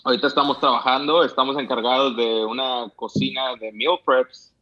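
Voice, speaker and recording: A man's voice: mid-range voice, level tone, brisk, muffled recording. A man from Matamoros with a northern Mexican accent, chatting casually and colloquially as a chef guest, often adding tag questions. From Matamoros, Tamaulipas, Mexico. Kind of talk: casual anecdotal chat.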